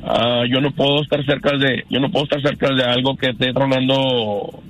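A man in his fifties with a Mexican accent, giving personal testimony as a radio caller in a halting, earnest, repetitive way. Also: mid-range voice, steady tone, fairly bright tone, rough recording. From Canada. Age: 50s.

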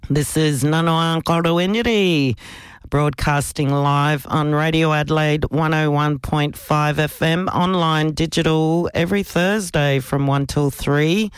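A man from South Australia with an Australian accent, hosting community radio and speaking live in a measured, deliberate way, phrase by phrase. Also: fairly high pitch, clean tone, dry recording. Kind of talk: live radio welcome and acknowledgement of country. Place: radio studio.

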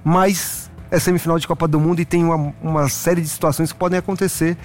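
A man's voice: on the high side, flat delivery, quick pace, soft background music. A Brazilian man chatting casually as a football pundit on a talk show. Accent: Brazilian Portuguese. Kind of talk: casual sports chat.

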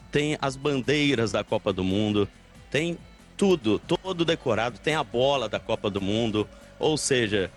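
A Brazilian man reporting live and informally on location, chatty, repetitive and rambling. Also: medium pitch. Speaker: field reporter. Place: inside a station snack bar. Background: faint music.

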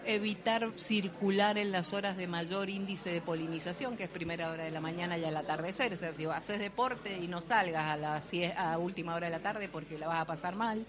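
A woman's voice: fairly low pitch, fairly quick, hardly any pauses, crowd noise. Chatty Argentine woman doctor on radio. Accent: Argentine Spanish. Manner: lively, explanatory, chatty. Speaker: doctor giving health advice on radio. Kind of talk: radio health talk. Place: radio studio.

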